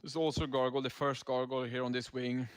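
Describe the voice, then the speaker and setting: A man's voice: medium pitch, little pitch movement, quick pace, clear, resonant tone, dry recording. A man giving casual, laid-back gameplay commentary as a raid leader, explaining tactics as he goes.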